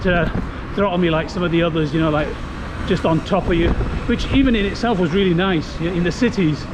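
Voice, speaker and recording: A man's voice: high voice, a little fast. A man from Europe chatting upbeat to camera while cycling a coastal road, thinking aloud with fillers, recorded on a bike-mounted camera. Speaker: touring cyclist vlogger. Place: outdoors on a coastal road with traffic. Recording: loud road and wind noise. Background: passing cars and traffic.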